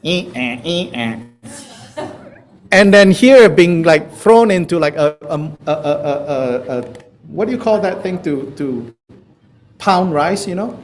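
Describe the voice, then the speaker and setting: A man with a Malaysian accent teaches in a playful, conversational way. His voice sits fairly high and moves in lively swings, and he takes his time. He sounds like an informal teacher talking with a class. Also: a little slow, a little hiss or hum. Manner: playful, chuckling, conversational, with repeated checks like "you know". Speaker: Buddhist teacher. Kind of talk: informal spoken teaching with questions from students.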